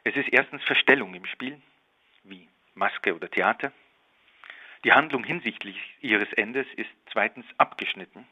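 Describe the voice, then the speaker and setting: A man's voice: on the low side, normal speed, bright, forceful tone, muffled recording. A man giving scripted explanatory commentary in a structured manner.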